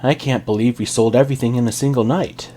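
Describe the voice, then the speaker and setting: A man's voice: medium pitch, fairly even intonation, quick pace, warm tone, slight background noise, voice pushed up, close to an everyday voice. A man voicing a boastful, theatrical female pony stage magician with a grandiose, self-assured manner in a storybook reading. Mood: smug.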